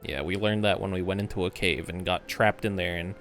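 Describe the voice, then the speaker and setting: A man's voice: low voice, steady tone, a little fast, a bit bright. A man giving casual live gameplay commentary, slangy, sweary and rambling. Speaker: game streamer. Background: game music.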